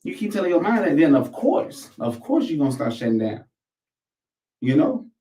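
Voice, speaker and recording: An echoey recording, with the mic some way off, of an American man with a fairly high voice. He talks casually and loosely, in profane slang. He often circles back to repeat a phrase for emphasis. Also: very animated, normal speed, warm tone. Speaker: host of a commentary show. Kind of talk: casual rambling commentary to camera. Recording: echoey room, distant microphone.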